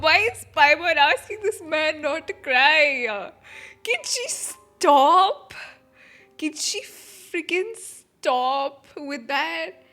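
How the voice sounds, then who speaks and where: High, bright, expressive female game streamer. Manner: emotive, exclaiming. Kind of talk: casual live reaction commentary.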